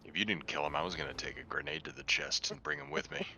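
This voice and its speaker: A man's voice: very deep voice, big pitch swings, quick pace, creaky, sharp tone. A man playing a tabletop game, chatting casually in a joking, profane way.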